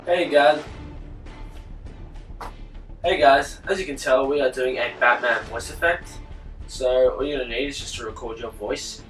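A man's voice: medium pitch, a bit bright, distant mic. A young man casually introducing a video tutorial, recorded in a bedroom a few feet from the camera. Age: teenager to young adult. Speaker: host of a tutorial channel. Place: small bedroom, indoors. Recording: echoey room, away from mic, music.

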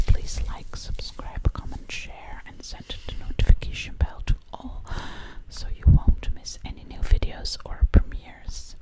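A woman hosting ASMR in a close, breathy whisper, soothingly repeating her phrases. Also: low-quality recording. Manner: slow, soothing repetition of phrases. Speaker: ASMR video host. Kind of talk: ASMR roleplay pampering. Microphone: close microphone.

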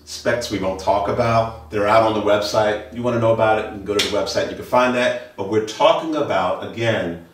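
A middle-aged man presenting products informally as an audio dealer, emphatic and fond of repeating phrases. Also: lowish voice. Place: indoor wood-floored listening studio. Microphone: handheld camera a few meters away. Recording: echoey room, distant camera mic, music behind.

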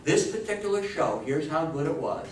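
A man in his sixties giving an animated talk to an audience, telling stories emphatically, repeating phrases and asking questions. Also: fairly high pitch, normal speed. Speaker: lecturer on old-time radio history. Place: meeting room with seated older audience. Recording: roomy, distant camera mic with background noise.

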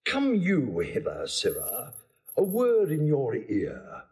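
An actor playing a pompous, bumbling old constable with a creaky voice, in broad Shakespearean comedy. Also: male voice, medium pitch, huge pitch swings, slow pace, darkish tone. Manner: pompous, self-important, blustering. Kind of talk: broad comic Shakespearean acting.

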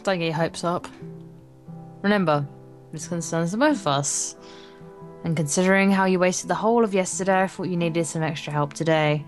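Woman as a lowish-voiced, brooding teenage girl. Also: normal speed, soft background music, natural voice. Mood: curt. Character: bitter, brooding, lonely teenage schoolgirl from a dating game. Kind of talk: visual novel inner monologue read aloud.

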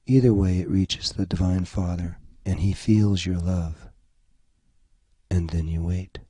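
Low, husky man guiding a meditation. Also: fairly even intonation, measured pace, warm tone, no room sound. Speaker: guided meditation leader. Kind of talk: slow guided meditation narration.

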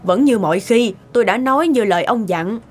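A woman's voice: on the high side, expressive, brisk. A woman playing a courteous, composed lady in a cartoon. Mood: polite. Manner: polite. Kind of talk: animated cartoon dialogue. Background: music.

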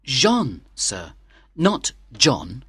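A man's voice: mid-range voice, wildly swooping pitch, very slow, a bit bright, slightly rough, dry recording, full character voice. A man playing an earnest young French manservant in a dramatized audiobook, polite, deferential and eager. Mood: politely correcting.

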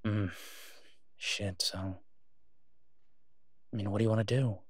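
A man playing a devoted, reassuring boyfriend in an intimate roleplay audio drama, speaking softly and haltingly in a conversational way. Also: fairly low pitch, fairly even intonation, slightly rough, no echo, studio quality. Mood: worried, hesitant.

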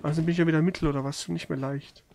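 A man's voice: fairly high pitch, fairly even intonation, racing, creaky, darkish tone, slight background noise. A man giving casual, blunt and irritable commentary as he plays a video game. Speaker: let's player. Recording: game music behind. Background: video game music.

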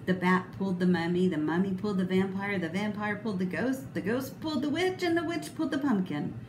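A middle-aged woman reading a children's picture book aloud expressively, with deliberate, dramatic emphasis. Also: medium pitch, a little mellow. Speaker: storybook reader. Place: indoor room, voice a little echoey. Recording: roomy echo, clear background noise.

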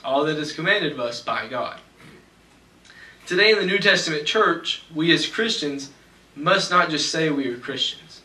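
A young man preaching a sermon from a church pulpit, reading scripture slowly and deliberately. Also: a little high, pushed and bright. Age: young adult. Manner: measured, slows for scripture reading. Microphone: pulpit mic, far camera. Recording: echoey church from far off.

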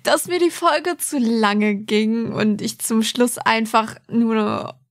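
A woman's voice: on the high side, takes it slowly, no room sound, clean studio sound. A woman chatting casually as a podcast co-host. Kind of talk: casual chat.

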